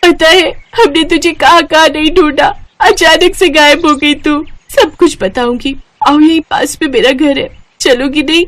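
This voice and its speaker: A woman's voice: high voice, fairly even intonation, a little slow, hardly any pauses, a bit bright, voice pushed up. A woman voicing an affectionate, eager teenage schoolgirl in a moral story, with an emotional, pleading manner. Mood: excited, emotional. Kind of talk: animated moral story character acting.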